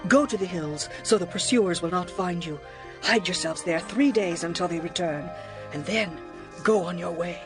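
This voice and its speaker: A woman's voice: lowish voice, moderate pace, lightly acted. Woman as an earnest ancient woman over music. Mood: urgent. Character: Rahab, earnest pleading woman of ancient Jericho. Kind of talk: dramatized scripture reading. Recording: music and chirping crickets behind.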